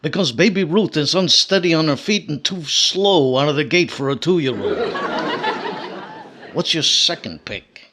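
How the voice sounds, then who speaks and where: A man's voice: fairly high pitch, animated, unhurried, bright, forceful tone, some chatter behind, full character voice. A man playing a furtive, sly racetrack tout in old-time radio comedy style, confidential, clipped and streetwise.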